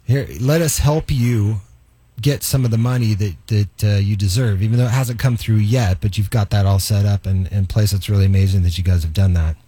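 A man with a husky voice, recorded close and dry, hosts a radio interview. His delivery is conversational, wandering through halting phrases as he puts his thoughts together. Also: fairly low pitch, fairly even intonation, warm tone, no echo.

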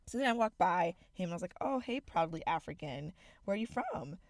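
Low, gravelly young woman. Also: brisk, no room sound, studio quality. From Zimbabwe. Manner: candid, animated, frequent fillers. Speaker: podcast co-host. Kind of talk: casual chat.

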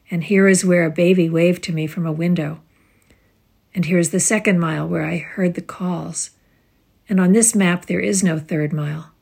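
Husky, creaky woman reading poetry. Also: fairly low pitch, a little mellow. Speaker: community poetry reader. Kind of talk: measured poetry recitation.

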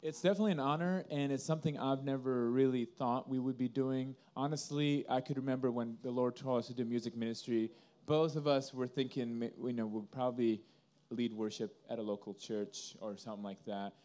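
Mellow-voiced man from Indonesia, earnest. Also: medium pitch, fairly even intonation, brisk, hardly any pauses. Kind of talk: casual interview answers, storytelling.

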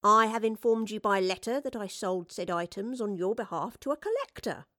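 Woman acting a pompous male swindler, bright and sharp. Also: mid-range voice, expressive, normal speed, no room sound, studio quality, close to an everyday voice. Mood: haughty. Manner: affected aloof haughtiness, archaic wording. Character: pompous, short-tempered male swindler, aloof and cowardly. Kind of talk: audiobook character acting.

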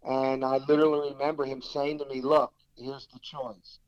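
A man's voice: mid-range voice, moderate pace, clear, resonant tone, no echo, muffled recording. A man with a South Philadelphia accent, an actor telling stories in a casual interview, acting out what others said to him. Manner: animated anecdote-telling, quoting others in dialogue. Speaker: actor guest.

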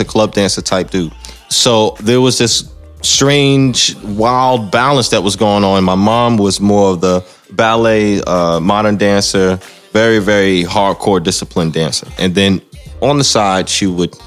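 A man talking casually as an interview guest, explaining and telling stories in an emphatic way, often repeating phrases. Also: fairly low pitch. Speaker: guest musician, singer and dancer.

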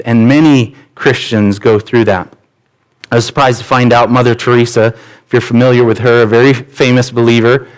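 A man's voice: mid-range voice, rough recording. A man preaching a sermon as pastor, earnest and conversational, often restarting his phrases. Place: church.

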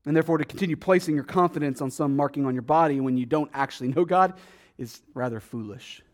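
A man preaching a sermon as pastor, conversational, with rhetorical questions and dramatic swings between loud emphasis and quiet asides. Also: a little high, fast talker.

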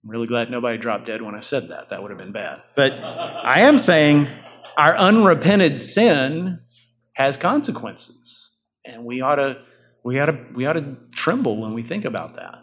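Pastor guy preaching, earnest, voice goes up and down, middle pitch, church service. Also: moderate pace, a bit bright. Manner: earnest, rising and falling preaching cadence. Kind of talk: sermon.

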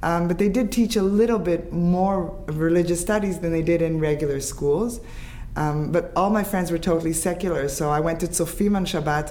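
A woman's voice: low-pitched, dark tone, slight background noise. A woman in her fifties from Israel, English her first language, talking reflectively as an interview guest, with many halts and restarts. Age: 50s. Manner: halting, restarts and fillers, reflective. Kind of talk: conversational interview.

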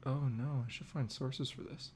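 A man's voice: mid-range voice, steady tone, normal speed, very noisy. A man co-hosting a podcast in loose, casual chat. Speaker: podcast co-host.

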